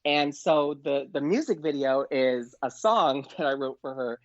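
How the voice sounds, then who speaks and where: A man's voice: medium pitch, unhurried, no echo, highs cut off. A man speaking as a performer guest in a casual interview, telling anecdotes in a chatty way.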